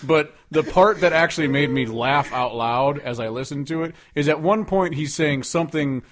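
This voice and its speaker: A man's voice: a little high, normal speed, crowd noise. A man co-hosting a podcast, ranting casually with plenty of sarcasm and swearing. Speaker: podcast co-host.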